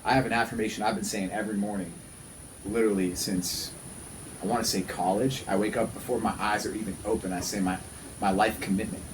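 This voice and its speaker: Low-voiced man in his thirties, noisy recording. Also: fairly even intonation, fairly quick. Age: 30s. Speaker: podcast host. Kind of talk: casual conversational podcast talk.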